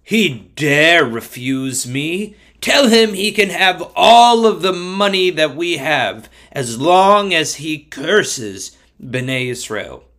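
An imperious storybook king, acted out by a man, demanding and commanding. He draws his words out slowly, giving orders. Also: mid-range voice, animated. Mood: affronted. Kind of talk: storybook character voice.

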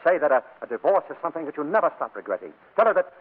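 An actor playing a pleading, comically persistent husband, stammering and exasperated in 1940s radio comedy style, on a muffled old broadcast. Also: male voice, a little high, big pitch swings, rapid-fire, slightly rough.

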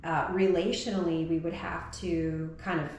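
A woman's voice: fairly low pitch, steady tone, normal speed, darkish tone. A woman co-hosting a podcast, talking in a thoughtful, musing way and often asking rhetorical questions. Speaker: podcast co-host. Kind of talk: reflective conversational chat. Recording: very echoey room with faint noise.

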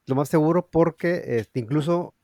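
A man with a Mexican accent co-hosting a casual comedic chat, relaxed and hesitant with many fillers. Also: on the high side, normal speed, no echo, studio quality. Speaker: co-host.

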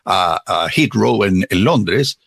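A man's voice: on the low side, lively intonation, slow, vocal fry, fairly bright tone, breathy edge, no echo, clean studio sound. A man co-hosting a morning talk show in casual, conversational speech. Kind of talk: casual radio chat.

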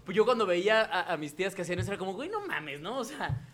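Mexican-accented man, podcast host, crude joking banter, bright animated voice. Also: high-pitched, racing, slight background noise. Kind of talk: casual podcast chat.

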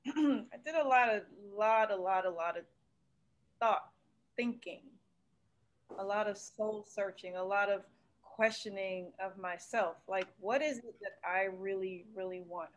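A woman's voice: medium pitch, measured pace, a bit bright, a little hiss or hum. A woman speaking as a panelist, candidly reflecting on personal experience in an earnest, thoughtful way.

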